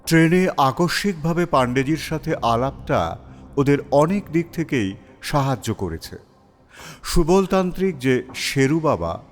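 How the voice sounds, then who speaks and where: A man narrating a scripted story as its storyteller. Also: medium pitch.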